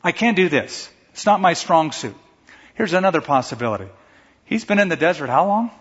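A man with a somewhat high, husky voice preaches in a conversational, animated way, now and then mimicking a stammer. His words ring with the long reverb of a large hall, and the sound is slightly dull. He delivers the sermon as a pastor to his congregation. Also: fairly quick.

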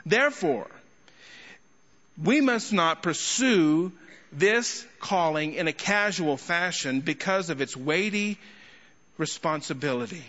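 Bright-voiced male preacher in an echoing hall. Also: on the high side, animated. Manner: emphatic, rhetorical questions and deliberate pauses. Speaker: guest preacher and teacher. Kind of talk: expository sermon to a congregation. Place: large indoor church hall. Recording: echoey large hall, slightly muffled sound.

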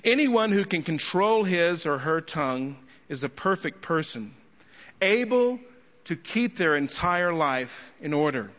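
A man preaching an expository sermon to a congregation, speaking emphatically with rhetorical questions and deliberate pauses. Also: fairly high pitch, sing-song, fairly bright tone. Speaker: guest preacher and teacher. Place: large indoor church hall. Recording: echoey large hall, slightly muffled sound.

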